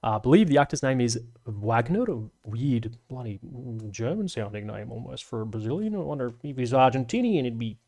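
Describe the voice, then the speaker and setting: A man's voice: medium pitch, big pitch swings, a little fast, few pauses, a little mellow. Rambling guy on a live stream. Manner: rambling, sweary, laughs often. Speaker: live stream host reading chat. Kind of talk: casual live stream chat.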